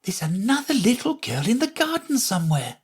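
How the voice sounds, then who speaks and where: A man voicing a polite, curious young girl in a storybook reading, with a husky, higher voice. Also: wildly swooping pitch, moderate pace, exaggerated voice. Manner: timid, polite, wondering.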